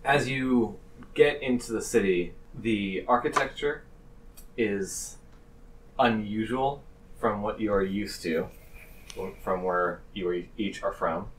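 A man narrating a tabletop game as game master, halting and pausing between phrases. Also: on the low side, a little hiss or hum. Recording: distant microphone.

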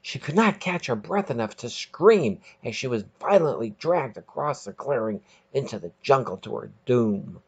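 A husky male podcast narrator with a very animated pitch. He reads scripted material with dramatic emphasis, like a storyteller, on a slightly dull lo-fi recording.

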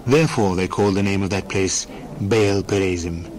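A man narrating scripture in a measured, formal way for a dramatized reading. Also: deep voice, darkish tone, a little hiss or hum.

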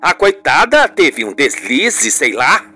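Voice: mid-range voice, very animated, takes it slowly, sharp tone, faint music behind, full character voice. A performer voicing a haughty, insistent older mother in a radio soap, with a melodramatic, scolding manner. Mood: insinuating. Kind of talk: radio soap opera acting.

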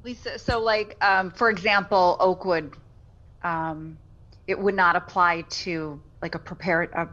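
Board member lady asking unsure questions, a bit low voice, dull call audio. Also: steady tone, unhurried, a bit bright. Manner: hesitant, questioning. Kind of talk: asking questions at a public meeting.

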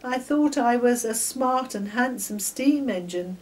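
A woman's voice: fairly high pitch, lively intonation, unhurried, a little mellow, recorded from a distance, pitched above the performer's own voice. Woman doing a proud young steam engine. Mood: dejected. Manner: boastful, then stammering apology. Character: proud new male steam engine, eager to impress.

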